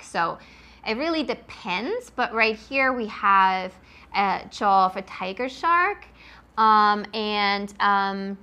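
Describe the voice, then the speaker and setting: A woman's voice: fairly high pitch, pushed and bright. A woman from Switzerland with a Swiss accent, a marine biologist answering interview questions in an enthusiastic, explanatory way, with many ums.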